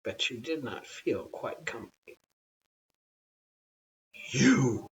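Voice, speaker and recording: An adult reader narrating a bedtime story in an expressive, measured storytelling manner. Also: mid-range voice, distant mic, dull audio, a little hiss or hum.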